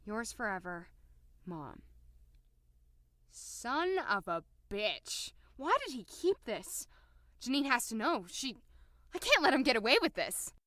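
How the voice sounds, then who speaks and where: A woman's voice: mid-range voice, sharp tone, dry recording. Woman playing a determined female doctor, dry close sound. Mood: outraged. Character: determined, sly, indignant female doctor working undercover. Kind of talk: audio drama acting.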